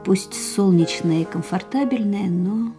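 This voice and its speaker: A pensive woman patient played by an actress in a radio drama, with a medium-pitched voice. She speaks in measured, deliberate phrases, with piano music clearly behind her. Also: lightly acted. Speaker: actress and narrator in a radio play. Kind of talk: literary radio drama narration.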